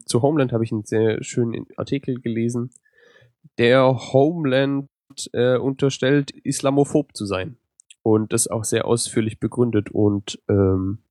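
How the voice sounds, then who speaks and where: A man with a cold co-hosting a podcast, talking in a relaxed, rambling way. His voice sits at a middle pitch, and the sound is dry and close-miked. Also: no room sound. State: has a cold. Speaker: podcast co-host. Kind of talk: casual podcast chat.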